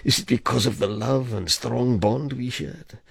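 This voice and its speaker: A man acting in an audio drama as a weary, grieving former policeman, brooding and hesitant, with a husky, gravelly voice. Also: medium pitch, measured pace, no echo, studio quality, heavily acted. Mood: troubled, brooding. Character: grieving, weary male ex-policeman, confiding and troubled.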